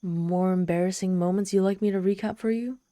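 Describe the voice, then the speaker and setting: Woman voicing a mellow, haughty ancient demon woman. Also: mid-range voice, fairly even intonation, brisk, dry recording, clean studio sound, close to an everyday voice. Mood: sardonic, irritated. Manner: haughty, theatrical, softening into shy vulnerability. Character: ancient proud tsundere demon woman, lonely, haughty, teasing. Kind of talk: ASMR audio roleplay, addressing the listener.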